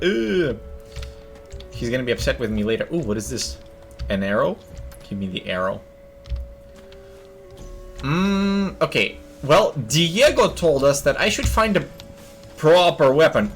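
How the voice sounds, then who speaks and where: Young man, gaming commentary, slow unhurried talk, jokey, thinking aloud, faint game music behind. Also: medium pitch, a bit bright. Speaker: streamer.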